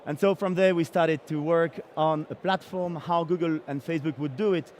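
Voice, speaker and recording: Founder, middle-aged man from Belgium, a bit higher-pitched, hesitant pitch full of "uh"s. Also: fairly even intonation, moderate pace, a little mellow, slight background noise. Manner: hesitant, many fillers and restarts. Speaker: startup founder. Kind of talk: startup pitch presentation.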